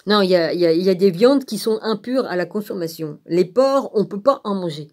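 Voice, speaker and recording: Female vlogger, medium pitch. Blunt, crude, sarcastic informal rant delivery. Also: animated, a little fast, a little mellow. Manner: blunt, irritated, crude colloquial speech; sarcastic, punctuates phrases with rhetorical tags.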